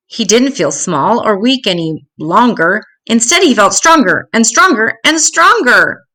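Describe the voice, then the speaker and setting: Slow-paced older woman reading a storybook. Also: on the high side, big pitch swings, sharp tone. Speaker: children's storybook reader. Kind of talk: expressive read-aloud of rhyming story.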